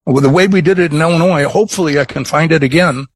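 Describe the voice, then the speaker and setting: A middle-aged man from Chicago with a Chicago accent, chatting as a guest in an interview, halting with many restarts and fillers. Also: on the high side, husky. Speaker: craft distiller guest. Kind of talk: casual interview chat.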